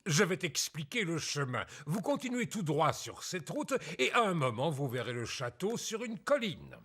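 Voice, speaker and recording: A man voicing a jolly, teasing old grandfather pig in a children's cartoon, with a husky voice. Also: on the high side, sing-song, normal speed, a bit bright, exaggerated voice. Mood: helpful. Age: older figure.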